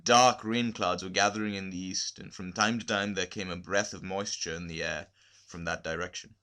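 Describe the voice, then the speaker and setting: A man narrating a literary short story expressively, with measured, deliberate phrasing. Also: sits low, fairly even intonation, pushed and bright. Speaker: story reader.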